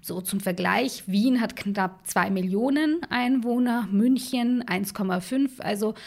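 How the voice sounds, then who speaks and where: A woman co-hosting a true crime podcast, telling the case in a relaxed, conversational way with fillers and asides. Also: medium pitch, unhurried, gravelly. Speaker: podcast co-host.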